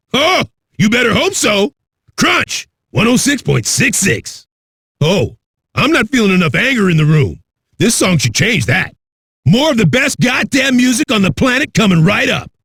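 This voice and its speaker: Raspy, amped-up metal radio DJ. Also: male voice, very high-pitched, pushed and bright, dry recording, clean studio sound, full character voice. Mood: hyped swagger. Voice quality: raspy, gravelly. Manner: aggressive, rowdy, swaggering delivery. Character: brash, hyped-up, foul-mouthed male metal radio DJ. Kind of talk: high-energy rock radio DJ patter.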